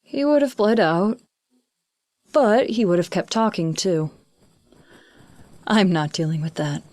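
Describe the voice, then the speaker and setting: A woman's voice: medium pitch, takes it slowly, darkish tone, no room sound, clean studio sound, close to an everyday voice. An actress in an audio drama playing a blunt, determined young female student journalist. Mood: shaken.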